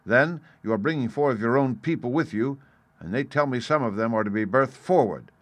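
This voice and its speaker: A man voicing a blunt, stern ship's captain in an audiobook, clipped and forceful in his plain speaking. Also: mid-range voice, expressive, fairly quick, no echo, pitched above the performer's own voice, natural voice. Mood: firm, insistent. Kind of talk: audiobook character voice.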